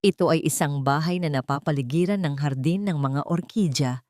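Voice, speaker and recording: Gentle Filipino woman storyteller, low voice. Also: normal speed, no echo, studio quality. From Philippines. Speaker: audiobook narrator. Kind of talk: scripted storybook narration.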